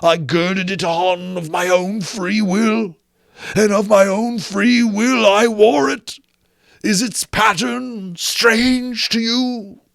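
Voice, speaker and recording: A man voicing the mournful ghost of an old chained businessman, wailing his lines slowly in a gravelly voice in a storybook reading. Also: sits high, fairly even intonation, no echo, pitched above the performer's own voice, heavily acted. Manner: slow drawn-out wailing delivery.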